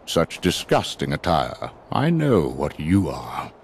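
An actor playing a stern, cold older judge in a video game, speaking with haughty, formal contempt. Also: male voice, extremely low pitch, wildly swooping pitch, slow pace, slight background noise, heavily acted. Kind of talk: dramatic video game acting.

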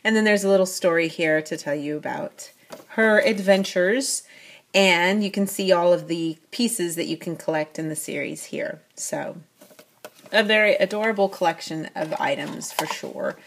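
A woman's voice: fairly low pitch, moderate pace. A middle-aged woman casually narrating a toy unboxing at a tabletop, chatty and full of fillers. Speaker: toy review vlogger. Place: at a tabletop, off camera.

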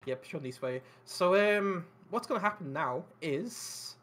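A man's voice: sits high, lively intonation, moderate pace, fairly bright tone. A man running a tabletop game as game master, talking informally and swearing casually.

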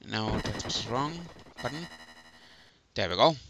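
A young man hosting a casual video game walkthrough, talking in a halting way over game music. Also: lowish voice, animated. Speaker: gameplay video host.

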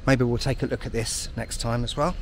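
A man's voice: mid-range voice, steady tone, fairly quick. A man from the UK giving casual, wry commentary as he walks outdoors through city streets. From United Kingdom. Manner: chatty, wry, self-deprecating asides. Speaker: travel vlogger. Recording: faint outdoor street noise. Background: faint street and traffic noise.

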